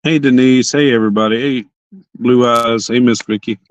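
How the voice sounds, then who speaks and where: A hoarse young man hosting a casual livestream chat from his parked car, rambling and often restarting his sentences. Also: medium pitch, steady tone, moderate pace, no room sound, highs cut off. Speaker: livestream host.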